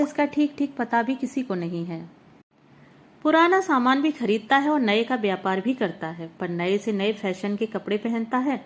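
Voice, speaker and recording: A woman reading a literary short story aloud as narrator, in a measured, even, reflective manner. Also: on the high side.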